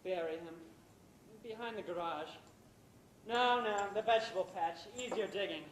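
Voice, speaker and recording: A man's voice: moderate pace, natural voice. A man playing a smug, scheming middle-aged playwright husband in a live stage play, with theatrical, sardonic delivery. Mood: gleeful, scheming. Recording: echoey amateur stage recording, background rumble.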